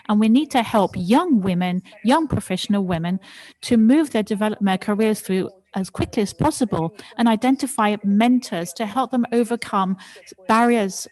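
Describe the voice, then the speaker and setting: A woman renders a speaker's presentation into English in real time for an online panel. Her voice sits at an ordinary middle pitch. She hesitates and restarts often as she keeps pace with the speaker. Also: darkish tone, poor audio. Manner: hesitant, frequent restarts and cut-off words. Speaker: simultaneous interpreter of a panel talk. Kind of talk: simultaneous interpretation of a presentation.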